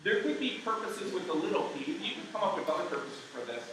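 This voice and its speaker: Bright emphatic man in an echoey hall. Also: high voice, big pitch swings, fast talker, slight background noise. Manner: emphatic, step-by-step explaining; says he has a loud voice. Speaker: camp speaker. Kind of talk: live apologetics talk. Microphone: mic taken off partway. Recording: very echoey room.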